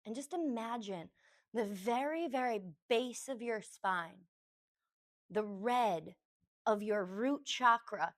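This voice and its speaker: Slow-talking woman guiding a meditation. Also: on the high side. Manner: gentle, unhurried instruction in short phrases with long pauses. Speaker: yoga teacher. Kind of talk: guided meditation for a yoga class.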